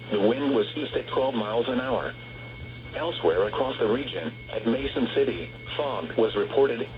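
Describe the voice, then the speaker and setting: A synthetic male voice reads a scripted weather bulletin as a radio announcer, in a measured, formal and impersonal way. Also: mid-range voice. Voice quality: synthetic text-to-speech voice. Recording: muffled noisy weather radio broadcast.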